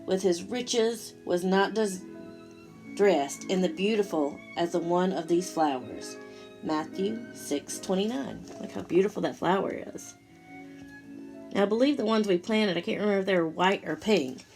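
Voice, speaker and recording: A woman storyteller reading a picture book aloud to children in an expressive way, talking directly to her listeners. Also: medium pitch, a little slow, soft background music. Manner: expressive, asks the listeners questions.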